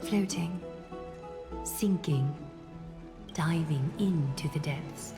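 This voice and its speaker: Solemn game priestess: low, breathy, deliberate, commanding, music behind. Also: female voice, full character voice. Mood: hushed, hypnotic. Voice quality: breathy, at times whispering. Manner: slow, deliberate, formal and commanding. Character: serene, solemn priestess guiding a sacred water ritual. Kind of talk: video game voice acting. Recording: background music under game dialogue.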